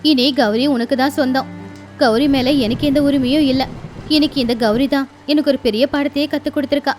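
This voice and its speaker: A stern female crow moneylender played by a woman in a children's cartoon. The voice is high and full of moral weight, with music running underneath. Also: steady tone, a little fast, a little mellow, lightly acted. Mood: apologetic, kind. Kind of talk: cartoon moral story acting.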